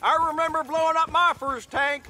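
An actor playing a brash, teasing, trigger-happy army grunt with a cocky, jokey way of talking in a military video game. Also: male voice, very high voice, fairly even intonation, moderate pace, exaggerated voice. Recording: loud vehicle noise behind.

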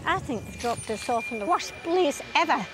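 A British woman speaks bluntly and nervously while wading through water outdoors. Her voice is high, with loud music behind her. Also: expressive, normal speed. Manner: anxious, blunt. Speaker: contestant. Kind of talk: unscripted on-location talk.

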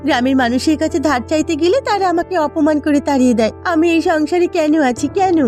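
A woman's voice: sits high, fast, darkish tone, natural voice. A woman playing a weary, fretful but caring village housewife in a cartoon, complaining and pleading dramatically. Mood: upset, aggrieved. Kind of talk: Bengali cartoon voice acting. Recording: music behind the voice.

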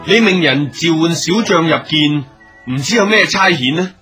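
A man's voice: on the high side, expressive, moderate pace, bright, forceful tone, soft background music. A male actor playing a loyal, brave junior general in a period radio drama, earnest and deferential in Hong Kong Cantonese. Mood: deferential, attentive. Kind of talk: theatrical radio drama acting.

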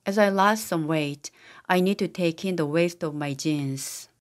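A synthetic woman's voice reading English practice sentences for a language lesson, in an even, steady delivery. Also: lowish voice, measured pace. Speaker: language teacher voice. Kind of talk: scripted English drill sentences.

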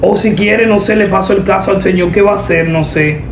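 A young woman making a deadpan prank phone call, giving instructions in an official-sounding manner. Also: medium pitch, brisk, roomy sound, noisy background. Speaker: prank caller, host. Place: indoor room at a table. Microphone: camera a short distance away.

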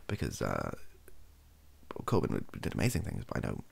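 British man, thirties, soft-spoken, husky, lively intonation, halting, improvised rambling, close-up ASMR-style talk. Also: rumbling low. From United Kingdom. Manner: hesitant, halting, self-correcting. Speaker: ASMR video creator. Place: small indoor home room.

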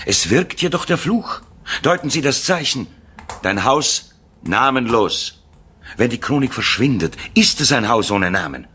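An actor playing a solemn parapsychology professor, portentous and full of dramatic pauses, in a 1970s German radio play. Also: male voice, medium pitch, very animated, pushed and bright, slight background noise. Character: ominous, solemn parapsychology professor warning of a curse.

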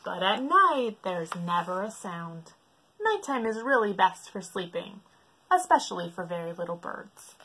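Animated, unhurried woman reading a picture book. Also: medium pitch, breathy edge, low-quality recording. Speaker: library storytime reader. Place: book-lined room at home, indoors.

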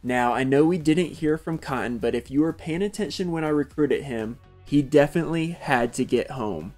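A man's voice: a little high, normal speed, a little mellow, soft background music. A man in his thirties hosting a vlog, talking and narrating in a casual style. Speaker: vlog host.

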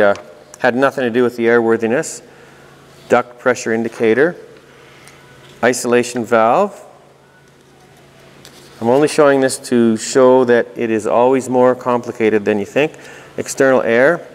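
Man, medium pitch, long room reverb, informal technical explainer, conversational, thinking aloud, dry asides. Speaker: host of a technical explainer video. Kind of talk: informal technical walkthrough of diagrams, partly read aloud.

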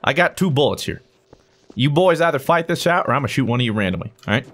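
A man's voice: medium pitch, animated, quick pace, a bit bright. A man bantering casually in a multiplayer game in a bossy, mock-serious manner. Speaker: video game player.

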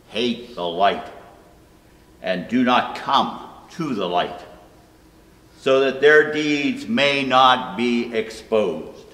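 Very slow older man reading scripture. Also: medium pitch, fairly bright tone. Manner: deliberate, phrase by phrase. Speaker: scripture reader. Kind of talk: solemn Bible reading. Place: indoor church room at a pulpit. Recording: echoey hall, long reverb, distant mic.

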